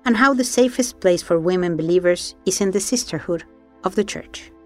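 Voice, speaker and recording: A woman hosting an investigative documentary, giving measured, serious scripted narration. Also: lowish voice, soft background music. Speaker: documentary podcast host and narrator.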